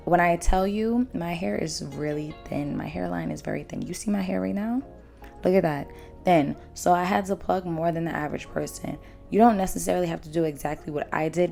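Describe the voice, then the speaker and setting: A young woman vlogger with a naturally low voice, hoarse after losing it, chatting bluntly and casually through a hair tutorial. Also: darkish tone. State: hoarse, lost voice after drinking. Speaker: beauty vlogger. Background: faint background music.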